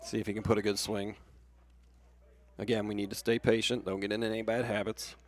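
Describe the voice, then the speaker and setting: A man's voice: lowish voice, flat delivery, brisk. A man working as a color commentator, giving live analysis of a baseball game.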